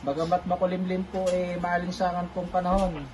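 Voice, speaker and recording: A man's voice: high-pitched, completely flat delivery, brisk, a little mellow, slight background noise, poor audio. A polite man making a casual two-way radio call as an amateur operator, repeating himself for clarity. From Philippines.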